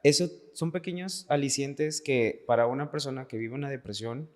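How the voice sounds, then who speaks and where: A man's voice: mid-range voice, moderate pace. Mexican guy around 30, podcast chat, earnest and emphatic, lots of reverb on it. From Veracruz, Mexico. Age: late 20s to 30s. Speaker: podcast guest, dancer. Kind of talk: casual, personal podcast conversation. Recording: echoey hall-like reverb.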